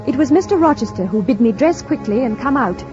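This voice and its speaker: An actress playing a devoted young governess in a mid-century radio drama, with polite, restrained and precise formal diction. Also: female voice, a little high, animated, brisk, over music, natural voice. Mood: composed, recounting. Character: devoted, composed young governess, quietly steadfast. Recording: muffled old radio broadcast.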